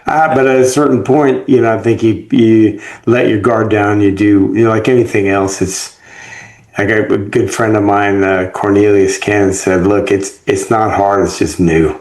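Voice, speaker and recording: A man's voice: on the low side, steady tone, moderate pace, a little mellow. A man hosting a casual conversation, who talks in a rambling way full of filler phrases. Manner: rambling, full of "you know". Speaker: host.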